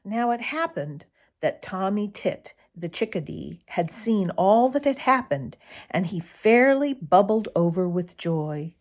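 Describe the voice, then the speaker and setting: Female storybook reader: very unhurried, expressive, dramatic phrasing, medium pitch. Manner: deliberate, dramatic, addresses young listeners with questions. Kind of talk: expressive children's story narration.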